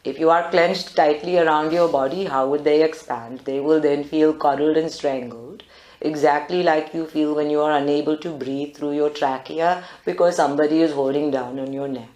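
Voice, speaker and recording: A woman guiding a live group meditation in a calm, deliberate, instructive way. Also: low voice. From South Africa. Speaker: meditation guide. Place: indoor group meditation room. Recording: roomy live recording.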